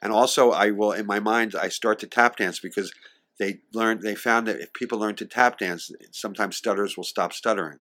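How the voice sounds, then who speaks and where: Older guy, kinda low voice, slight stutter, chatty interview guest who does little voices for people in his stories. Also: fairly quick. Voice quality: occasional stutter, with slips and restarts. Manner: animated storyteller who acts out quoted voices. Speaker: singer and actor, interview guest. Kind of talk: reminiscing in a conversational interview.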